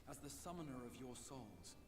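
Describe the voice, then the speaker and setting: A man's voice: mid-range voice, big pitch swings, noisy background, lightly acted. A man voicing a grave mage summoner. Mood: solemn. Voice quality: measured and formal. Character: solemn male mage summoner, formal and grave. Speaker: game character. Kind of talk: voiced video game dialogue. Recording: game audio with reverb and music.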